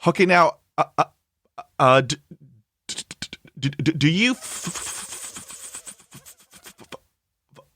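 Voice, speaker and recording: A man's voice: on the high side, expressive, takes it slowly, pauses often, bright, forceful tone, no room sound, clean studio sound. A man hosting a podcast interview, stuttering heavily with blocks and repeated sounds, upbeat and chatty with lots of fillers. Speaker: podcast host. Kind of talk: conversational interview.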